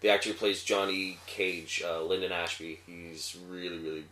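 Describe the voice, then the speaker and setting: A man giving casual movie opinions as a panelist, halting and often correcting himself as he goes. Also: low voice, fairly even intonation, sharp tone. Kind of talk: casual review chat. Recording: echoey room.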